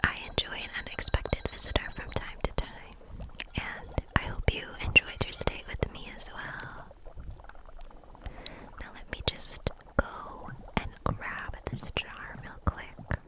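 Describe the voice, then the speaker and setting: A woman whispering gently and soothingly in an ASMR roleplay as a kindly kitchen witch hosting a visitor. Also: slow, poor audio.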